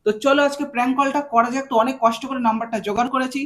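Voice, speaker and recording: A man's voice: extremely high pitch, steady tone, very fast, a bit bright. A man hosting a prank phone call, chatting casually in a teasing, needling, mock-lovelorn way. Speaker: prank-call video host.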